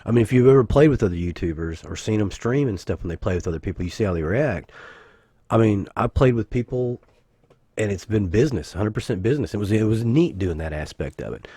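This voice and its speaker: A man, husky from the flu, rambling casually as a gaming channel host with self-corrections and joking asides. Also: fairly low pitch, lively intonation, quick pace, dark tone, dry recording, studio quality. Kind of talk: casual anniversary vlog talk.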